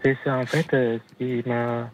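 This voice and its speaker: A man in his forties from Asia, for whom French is not his first language, calling a late-night radio show, hesitant and halting with nervous laughs. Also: mid-range voice, level tone, a little hiss or hum. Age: 40s. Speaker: caller. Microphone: telephone. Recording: dull lo-fi phone line into radio studio.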